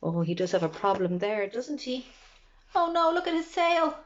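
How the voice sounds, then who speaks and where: A woman reads a children's picture book with a very animated, swooping delivery. She turns often to her young listeners with questions. The sound is a little dull and lo-fi. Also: on the high side, normal speed. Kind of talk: animated children's storytime reading.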